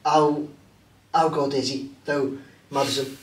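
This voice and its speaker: A man's voice: medium pitch, little pitch movement, slow. A man hosting a casual football chat show, rambling and hesitant with lots of fillers. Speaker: host. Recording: roomy, distant mic, faint hiss.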